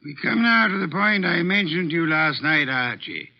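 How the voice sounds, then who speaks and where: Imperious master detective, fairly high male voice, formal, precise, pompous, old radio mystery, dull recording. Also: animated, normal speed. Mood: measured, authoritative. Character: imperious, pedantic, housebound genius detective, a large man. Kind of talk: 1940s-50s radio mystery acting.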